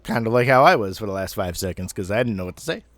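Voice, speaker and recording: A man in his thirties telling a personal story casually, in a rambling, halting way with some swearing. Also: fairly low pitch, animated, background noise. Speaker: amateur storyteller.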